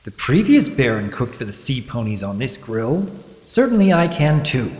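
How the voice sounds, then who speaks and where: A man's voice: medium pitch, sing-song, moderate pace, darkish tone, slightly rough, voice pushed up. A man voicing an earnest, lovestruck young pony baron in a storybook reading, with an eager, awkward, blurting manner. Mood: thoughtful. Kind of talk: dramatic reading of a fan story.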